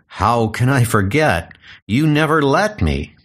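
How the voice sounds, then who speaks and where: A man's voice: medium pitch, very animated, slow pace, a bit bright, heavily acted. A man playing a frail, lame doctor in a comic audio drama, earnest and self-doubting. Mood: gentle.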